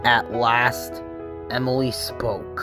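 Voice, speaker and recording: A man narrating a scripted children's story in a measured, dramatic storytelling way. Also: medium pitch, steady tone. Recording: prominent music behind the voice.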